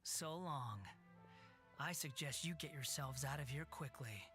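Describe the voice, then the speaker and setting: A man's voice: medium pitch, unhurried. A man voicing a proud, bitter young wanderer in a video game, speaking coolly and sardonically. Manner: cold, sardonic, quiet. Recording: game audio with soft music.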